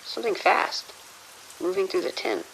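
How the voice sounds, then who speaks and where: A woman's voice: on the low side, big pitch swings, normal speed, fairly bright tone, slight background noise, poor audio. A woman playing a nervous security officer on night shift in an audio drama, speaking hesitantly with stammers. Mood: frightened, hesitant. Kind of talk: immersive audio drama acting.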